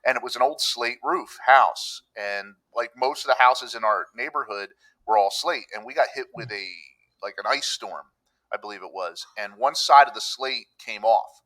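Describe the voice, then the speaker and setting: A middle-aged man from Pennsylvania chats as a podcast guest in a clear, bright voice of ordinary pitch. He talks in an easygoing, storytelling way and acts out the people he quotes. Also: moderate pace, low-quality recording. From Pennsylvania, USA. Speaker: public adjuster, podcast guest. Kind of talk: conversational interview. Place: room with a countryside backdrop.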